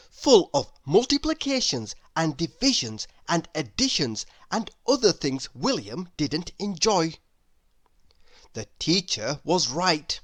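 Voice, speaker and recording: A woman's voice: low-pitched, dry recording, studio quality. A woman reading a children's story aloud as narrator. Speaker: storybook reader.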